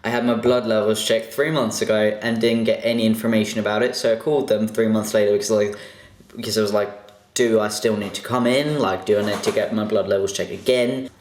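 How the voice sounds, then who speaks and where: A man's voice: lowish voice, fairly even intonation, a little fast. A young man casually answering viewer questions in a vlog, chatty and a bit self-conscious, with lots of fillers. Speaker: vlogger. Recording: echoey room.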